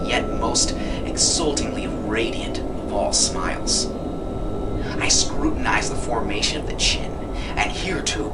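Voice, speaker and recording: A man reading gothic literature aloud as a storyteller, with a breathy, often whispery voice and a hushed, theatrical delivery. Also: mid-range voice. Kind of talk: dramatic literary narration of gothic horror. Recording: distant mic under loud background music. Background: loud music.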